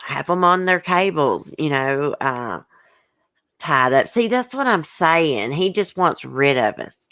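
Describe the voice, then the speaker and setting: A woman's voice: sits low, expressive, slow pace, fairly bright tone, no echo. A woman hosting a livestream, upset and hurt, bluntly venting and reading viewer comments aloud in casual, swearing speech. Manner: emotional, blunt, colloquial, swears. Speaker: YouTube channel host.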